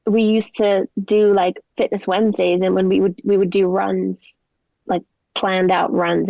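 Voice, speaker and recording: Young woman guest w a canadian-english mix accent, bit of vocal fry, rambly and chatty, dull dry sound. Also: medium pitch, a little mellow, no room sound. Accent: mixed Canadian and English. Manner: chatty, rambling, lots of likes and ums. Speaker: singer-songwriter guest. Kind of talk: casual interview chat.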